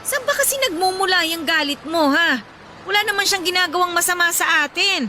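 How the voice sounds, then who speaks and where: A compassionate Filipino wife in a radio drama. Her voice is high and animated, pleading with exasperation and reproach. A clearly noisy outdoor ambience sits behind her. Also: brisk, heavily acted. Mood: reproachful, pleading.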